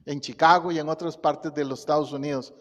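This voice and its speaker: A man from Costa Rica with a Costa Rican Spanish accent, a pastor preaching a sermon and telling stories in a lively way. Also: fairly high pitch, brisk, long reverb tail, muffled recording. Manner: storytelling, animated, laughs at own anecdotes. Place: church sanctuary.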